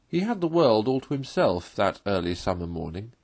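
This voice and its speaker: Animated, husky male storybook narrator. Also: fairly low pitch, normal speed. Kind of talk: expressive read-aloud narration of a classic children's story.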